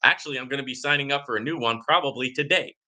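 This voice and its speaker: Bright, forceful man hosting a casual livestream. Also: mid-range voice, very fast. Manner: conversational, repeatedly checks in with "right?". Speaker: livestream host answering viewer questions. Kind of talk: casual live Q&A monologue, reading comments aloud.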